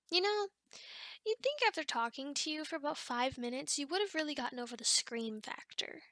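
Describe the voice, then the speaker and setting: A woman's voice: high voice, animated, moderate pace, a bit bright, no echo. A woman voicing a chatty, teasing female sleep paralysis demon in an ASMR roleplay, talking in a casual, rambling, hesitant way. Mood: matter-of-fact, chatty. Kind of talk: ASMR roleplay, one-sided conversation.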